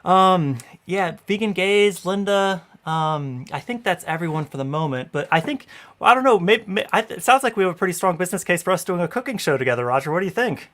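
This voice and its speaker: Upbeat thirties male livestream host, slightly high voice, talking into a headset. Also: lively intonation, brisk, a bit bright. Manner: upbeat, filler-heavy, "you know". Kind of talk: casual livestream hosting. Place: home room.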